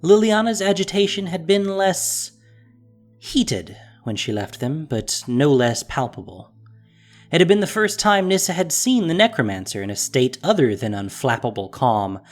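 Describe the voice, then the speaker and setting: An animated storyteller with a mid-pitched voice and wide-moving intonation. The delivery is scripted, dramatic narration for an audio drama, with soft music behind it. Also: normal speed.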